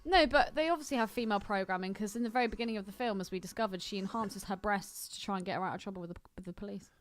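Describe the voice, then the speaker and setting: A woman's voice: mid-range voice, racing, a little hiss or hum. A woman co-hosting a podcast, bantering casually about films in a blunt, teasing, sweary manner. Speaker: podcast co-host. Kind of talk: casual film chat banter.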